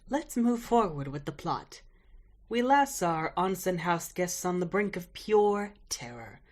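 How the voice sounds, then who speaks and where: Low-voiced woman acting as a horror storyteller. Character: formal female storyteller co-narrating a horror tale. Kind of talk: scripted audio drama narration.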